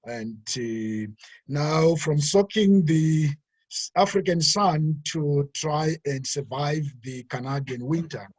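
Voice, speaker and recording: A man's voice: fairly high pitch, slow pace, no room sound. A man from Zimbabwe, a pastor, speaking in a webinar with many fillers and restarts. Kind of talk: webinar talk.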